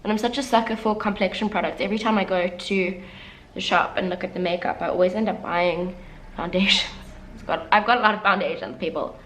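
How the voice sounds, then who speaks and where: A woman's voice: mid-range voice, fairly even intonation, fairly quick, few pauses, fairly bright tone, a little hiss or hum, rough recording. A young woman vlogger chatting casually and candidly through a makeup tutorial, sounding a little congested as she gets over the flu. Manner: chatty, candid, self-deprecating asides. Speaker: beauty vlogger.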